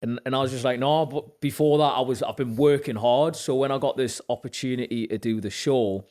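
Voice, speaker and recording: A young man from Zambia with a Newcastle accent, a podcast guest telling lively stories about his work and acting out what people said. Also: brisk. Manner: animated storyteller acting out quoted dialogue, lifts in pitch when excited. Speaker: podcast guest, actor and musician. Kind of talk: casual storytelling chat.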